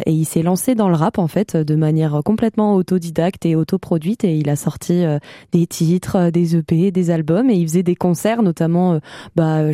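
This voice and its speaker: Relaxed, lowish young woman. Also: no echo, clean studio sound. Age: young adult. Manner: informal, slangy, candid. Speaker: singer-songwriter guest. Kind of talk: casual personal storytelling in an interview.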